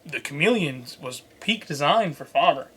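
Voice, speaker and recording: A man's voice: fairly high pitch, animated, normal speed, fairly bright tone, husky. A man hosting a product review, mixing scripted lines with casual, jokey asides. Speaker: host and reviewer.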